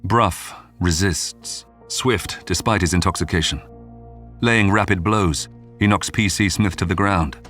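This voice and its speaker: A man reading scripted true-crime narration in a measured, dramatic way with suspenseful pauses. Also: sits low, a bit bright. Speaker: documentary narrator. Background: background music.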